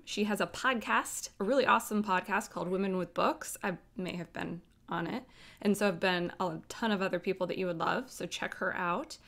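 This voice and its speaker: Woman host with a mid-pitched voice. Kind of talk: casual book chat.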